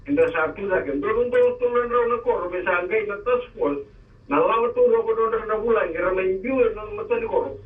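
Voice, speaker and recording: Male caller, fairly high voice, long phone explanation, roomy and lo-fi. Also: expressive, a little fast, far from the mic. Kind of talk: call-in talk on radio. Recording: tinny phone line, echoey.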